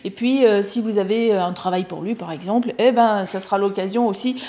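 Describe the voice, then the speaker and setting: A woman hosting a TV interview, encouraging her guest with steady murmurs of agreement. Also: mid-range voice, fairly quick. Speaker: TV host, interviewer.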